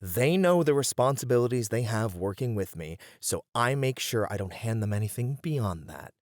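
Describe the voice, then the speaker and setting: A man's voice: medium pitch, expressive, moderate pace, a little mellow, no room sound, natural voice. A man playing a responsible, exasperated band manager in a scripted roleplay, talking in a dry, scolding manner. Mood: firm, scolding.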